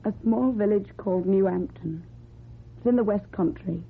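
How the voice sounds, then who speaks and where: Husky young British runaway. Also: female voice, mid-range voice, normal speed. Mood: guarded, bitter. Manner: short, simple, plain-spoken replies. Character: young runaway woman, guarded, plain-spoken, eager not to trouble. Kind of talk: old-time British radio drama acting. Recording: thin, muffled old radio recording.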